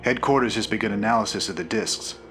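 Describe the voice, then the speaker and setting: An actor playing a casual, plain-spoken frontier captain in video game dialogue. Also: male voice, on the low side, quick pace, a bit bright, soft background music, close to an everyday voice. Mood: easygoing. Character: laid-back frontier captain, man, easygoing.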